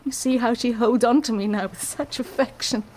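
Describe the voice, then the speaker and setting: An actress playing an anguished, dignified married woman confessing a secret, speaking formally and with deliberate passion in a period radio drama. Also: fairly high pitch, darkish tone, a little hiss or hum, close to an everyday voice. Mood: proud, tender. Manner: formal, deliberate, impassioned, declamatory.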